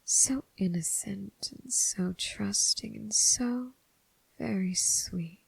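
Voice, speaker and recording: A woman voicing a seductive, predatory female demon in a teasing, whispered ASMR roleplay, speaking slowly and intimately to the listener. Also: mid-range voice, dry recording, heavily acted, rough recording. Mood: predatory, adoring. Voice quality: breathy, mostly whispered. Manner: slow, deliberate, teasing, coaxing.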